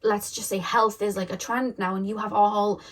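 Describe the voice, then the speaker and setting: A woman's voice: medium pitch, level tone, moderate pace. Chatty young woman giving a tarot reading. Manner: rambling, many fillers and self-corrections. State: voice getting shaky. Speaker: tarot reader. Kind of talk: casual tarot reading monologue.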